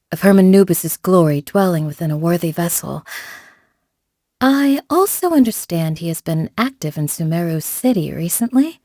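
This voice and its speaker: A woman playing a calm, devoted village guardian in a video game, speaking in a measured, formal, gently solemn way. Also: fairly low pitch, dry recording, clean studio sound. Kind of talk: scripted game character voice lines.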